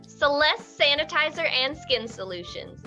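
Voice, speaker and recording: Infomercial pitchwoman played by an actress, polished and self-promoting, high, forceful voice over music, parody ad. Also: animated, a little slow, heavily acted. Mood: upbeat, salesy. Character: polished, self-promoting infomercial saleswoman selling skin products.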